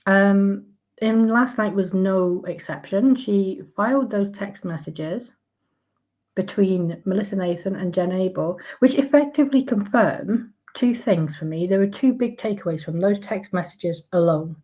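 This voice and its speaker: A woman whose voice is croaky today, ranting in her own casual commentary at an ordinary middle pitch. She is sarcastic and outraged, stumbling through fillers and restarting sentences as she goes. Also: a little slow, darkish tone, rough recording. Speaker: commentary vlogger.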